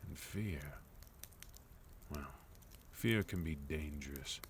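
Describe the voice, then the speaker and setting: Man playing a very deep, unhurried, sophisticated villain. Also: slight background noise, full character voice. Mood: composed, softly menacing. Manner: measured, courteous, softly menacing, deliberate pauses. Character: sophisticated, composed male villain, leader of enemy organization. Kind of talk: intimate ASMR roleplay monologue.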